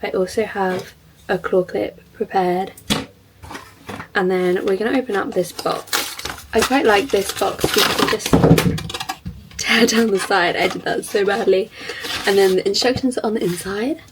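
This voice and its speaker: A young woman from the UK chatting casually to camera as a vlogger, her voice a little croaky from being ill, self-deprecating and thinking aloud as she works. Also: medium pitch, moderate pace, long pauses, distant mic, heavy background noise. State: slightly croaky from illness. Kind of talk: casual vlog talk to camera. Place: home, in front of a mirror.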